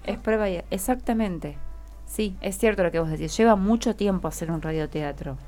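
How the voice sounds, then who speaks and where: A woman's voice: mid-range voice, moderate pace, warm tone, faint music behind. A woman with a Rioplatense Argentine accent hosting a friendly radio interview in an encouraging, conversational way. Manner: encouraging, prompts with short questions and affirmations. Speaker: radio host interviewing schoolchildren. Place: radio studio.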